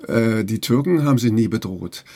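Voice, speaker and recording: A man interviewing a guest, speaking in a measured way with frequent fillers. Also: on the low side, warm tone. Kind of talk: radio interview.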